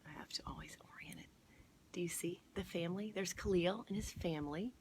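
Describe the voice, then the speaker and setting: Woman, storytime host, fairly low pitch, read-aloud with chatty explanatory asides, faint ambient noise. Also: darkish tone. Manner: explanatory, pausing to point things out and check with listeners. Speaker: storytime host at a museum garden.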